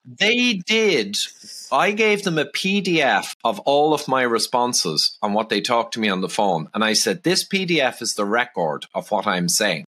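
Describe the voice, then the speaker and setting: A middle-aged man with an Irish accent, a podcast guest who speaks in a calm, measured, reasonable way. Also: mid-range voice. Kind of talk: casual podcast conversation.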